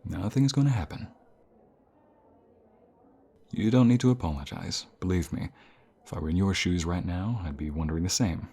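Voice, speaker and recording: A man playing a caring university professor in an ASMR roleplay, speaking in a calm, reassuring and gentle manner. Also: sits low, normal speed, long pauses, breathy edge. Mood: soothing reassurance. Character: caring male university professor comforting a burnt-out student. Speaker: voice actor.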